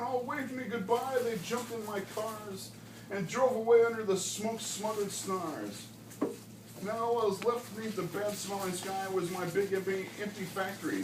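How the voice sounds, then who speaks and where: Male storybook reader, dramatic and lively rhymed delivery, miked from a distance in a classroom. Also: high-pitched. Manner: dramatic, emphatic. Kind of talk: expressive rhymed storybook reading. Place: school classroom. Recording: roomy, distant mic, background noise.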